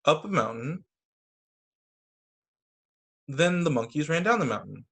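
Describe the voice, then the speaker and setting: A man reading picture books aloud to children as a teacher, expressive, with brief casual asides. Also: a little high, a little slow, gravelly, breathy edge. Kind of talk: animated children's story reading. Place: remote video story time.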